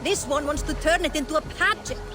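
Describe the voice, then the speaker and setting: An actress playing a blunt, bossy Norse village woman in a video game, brisk and scolding. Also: high voice, over music, lightly acted. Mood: scolding, firm. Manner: brisk, scolding, matter-of-fact. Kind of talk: dramatic video game acting.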